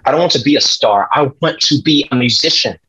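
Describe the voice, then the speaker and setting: A man's voice: medium pitch, a little fast, bright, forceful tone, slightly rough. A man in his twenties playing a proud, defiant young jazz pianist in a script table read over a video call. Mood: resolute. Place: small room at home, on video call. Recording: dull, lo-fi video call audio.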